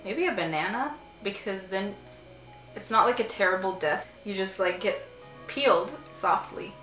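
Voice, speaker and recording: A woman's voice: medium pitch, moderate pace, roomy sound, faint music behind, low-quality recording. Chatty young woman, filler-heavy. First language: Arabic. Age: 20s. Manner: chatty, many "like" fillers, relaxed. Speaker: artist and vlogger. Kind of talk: casual Q&A chat while painting. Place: small home room, sitting on a couch. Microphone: camera a few feet away.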